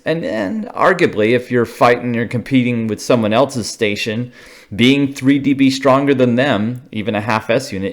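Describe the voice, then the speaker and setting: An American man giving an informal, unscripted technical explanation, thinking aloud haltingly and checking in with short tags. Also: medium pitch. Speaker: radio hobbyist explainer.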